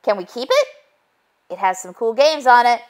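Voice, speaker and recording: A woman's voice: a little high, very animated, normal speed, voice pushed up. A woman voicing eager, childish storybook pigs with an insistent, squabbling manner.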